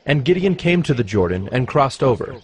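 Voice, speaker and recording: A man narrating dramatized scripture in a solemn, measured storytelling manner. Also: medium pitch, sing-song. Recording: clear music behind the voice.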